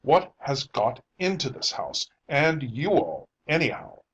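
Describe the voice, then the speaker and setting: Man playing a gruff, irritable husband. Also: a little high, very fast, dull audio, a little hiss or hum, voice pushed up, natural voice. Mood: angry, resentful. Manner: growling, forceful, emphatic. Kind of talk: dramatic audiobook character reading.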